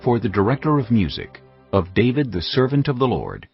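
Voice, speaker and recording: A man narrating scripture for a dramatized audio production in a solemn, measured way. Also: medium pitch, wildly swooping pitch, vocal fry, husky. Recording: dull audio with music behind.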